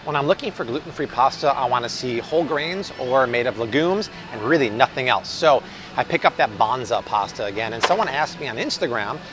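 Upbeat American man over clear store noise. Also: medium pitch, expressive, fairly quick. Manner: upbeat, enthusiastic, chatty. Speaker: host of a grocery food review vlog. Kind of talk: casual enthusiastic product review to camera. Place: large supermarket aisle, indoors. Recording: clear store ambience and hum.

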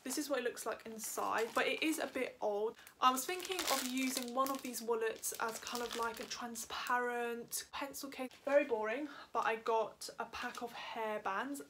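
A woman's voice: a little high, fairly bright tone, a little hiss or hum. Upbeat young woman chatting in her bedroom. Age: young adult. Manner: chatty, upbeat, quick asides. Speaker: lifestyle vlogger. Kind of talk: casual haul vlog talk to camera. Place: bedroom, indoors. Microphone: camera on a stand in front of her.

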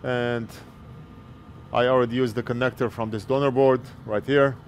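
A man's voice: medium pitch, fairly even intonation, normal speed, a little hiss or hum. A man in his forties walking through an electronics repair step by step as he works at his bench, speaking plainly into a headset mic. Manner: plain, explanatory, talks while working. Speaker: repair technician. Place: electronics repair workbench indoors. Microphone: wired earpiece headset mic.

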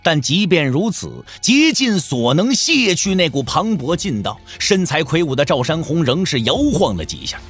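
Animated male storyteller, lightly high voice. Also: normal speed, few pauses, faint music behind. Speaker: narrator. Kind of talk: dramatic scripted audiobook narration. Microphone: close studio microphone.

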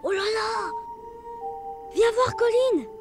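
A woman's voice: very high-pitched, slow, music in the background. A woman voicing a wide-eyed little boy in a children's cartoon, eager and full of wonder.

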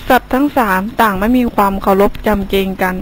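A woman's voice: mid-range voice, quick pace, a little hiss or hum, poor audio. Female storyteller, scripted narration, children's fable.

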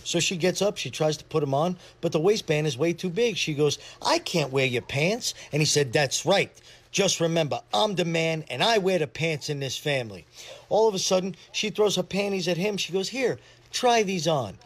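Guy telling a joke like a little story, kinda high voice, does the quotes. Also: lively intonation, normal speed. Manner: plain storytelling, acting out quoted lines. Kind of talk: scripted joke storytelling in present tense.

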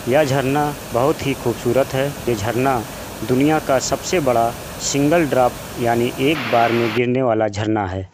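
Slow, measured man narrating. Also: mid-range voice, darkish tone. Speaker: channel narrator. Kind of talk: scripted voice-over narration. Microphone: voice-over.

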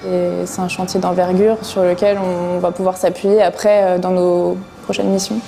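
A woman in her twenties speaks in a flat, even, mellow tone that sits low for her. She is hesitant and fills pauses often. Soft music plays under her in a site office. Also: creaky. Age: 20s. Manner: hesitant, many fillers. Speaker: young engineer. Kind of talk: documentary interview. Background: soft background music.

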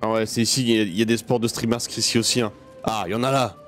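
Male gamer, fairly low voice, informal sweary self-talk, faint game music underneath. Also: fairly even intonation, rapid-fire. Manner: informal, sweary, muttering to himself. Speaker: gaming video host. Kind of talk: casual let's play commentary. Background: video game music.

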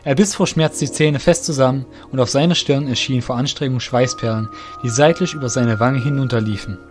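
A man reading scripted narration for an audio drama in a measured storytelling way. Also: mid-range voice, soft background music.

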